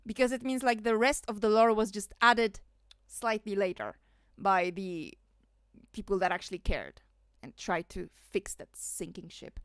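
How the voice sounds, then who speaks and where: A woman rambles through casual livestream commentary, laughing and leaning on fillers. Her voice sits at a middle pitch. The sound is dry and close. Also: a little slow, clear, resonant tone, no room sound. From Poland. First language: Polish. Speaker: streamer.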